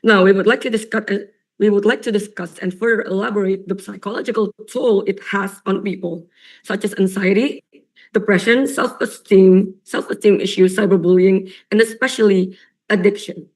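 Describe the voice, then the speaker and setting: A young woman debater with a raspy voice from coughing, delivering an earnest, emphatic competitive debate speech with frequent repetitions. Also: medium pitch, fairly even intonation, normal speed, a little mellow, poor audio. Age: young adult. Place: online or stage debate venue.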